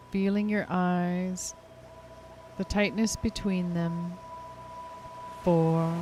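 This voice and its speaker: A woman leading a hypnotic guided meditation in a soothing, unhurried, gently instructing way. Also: fairly low pitch, a little hiss or hum. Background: music clearly behind the voice.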